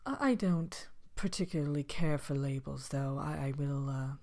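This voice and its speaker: A woman voicing a vain, haughty evil fairy-tale queen in soft, whispered ASMR, with a dry, condescending, hesitant manner. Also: deep voice, normal speed. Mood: haughty, picky. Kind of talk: whispered ASMR character talk show.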